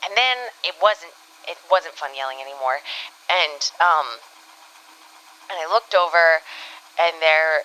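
A woman playing a shaken time agent, giving a hesitant, halting monologue in an improvised audio drama. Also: deep voice, bright, forceful tone, smooth, poor audio. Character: shaken female time agent recounting a mission.

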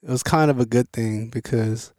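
A man from Korea raised in Los Angeles, telling his story as a guest in a relaxed, repetitive way. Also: mid-range voice, normal speed, no echo. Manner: relaxed, repeats words while thinking. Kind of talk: casual interview.

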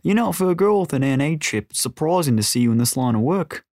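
A man's voice: a little high, animated, fast, a little mellow, dry recording, clean studio sound, pitched above the performer's own voice. A man voicing a brash, needling drug smuggler with an Australian accent.

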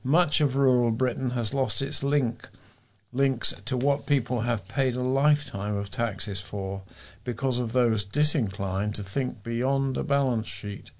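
A man's voice: mid-range voice, measured pace, a little mellow. Man reading letters aloud. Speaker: volunteer reader on a local talking newspaper.

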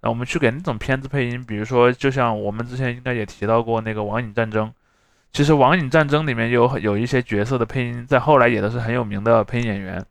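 A man's voice: mid-range voice, quick pace, vocal fry, no room sound, clean studio sound. A man hosting a podcast, explaining things conversationally and discursively, often checking for agreement. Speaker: podcast host.

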